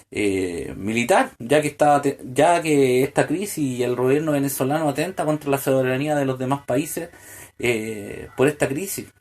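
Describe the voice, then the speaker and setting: A man's voice: mid-range voice, a little mellow. A man delivering a solo political podcast monologue, full of hesitant fillers and restarts, building to pointed rhetorical questions. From Chile. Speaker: podcast host.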